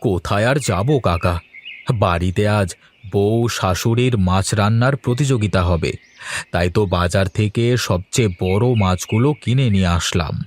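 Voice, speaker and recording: A man's voice: on the low side, moderate pace, lightly acted. A man voicing an earnest, obliging elder brother who keeps a village shop in a cartoon. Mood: cheerful, reassuring. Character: dutiful grown elder brother, a village shopkeeper. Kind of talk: Bengali cartoon dub acting.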